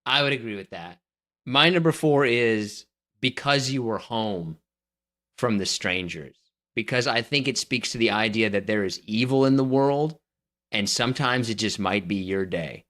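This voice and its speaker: A man's voice: fairly low pitch, normal speed, a bit bright. A man co-hosting a casual podcast, skeptical of his partner's list and arguing his own picks at length. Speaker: podcast co-host. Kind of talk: casual debate chat.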